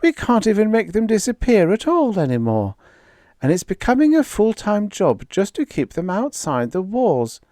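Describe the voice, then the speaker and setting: A man voicing an anxious, insistent woman crew member in a read-aloud science fiction story, his voice pushed up high and strained. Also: huge pitch swings, normal speed, warm tone, dry recording, clean studio sound. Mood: urgent.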